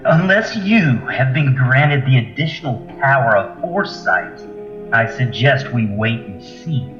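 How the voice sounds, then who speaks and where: An actor playing a proud, analytical alien scientist with formal, precise diction in an audio drama. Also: male voice, medium pitch, animated, a little slow, close to an everyday voice. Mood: lofty, firm. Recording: dull echoey lo-fi audio, music.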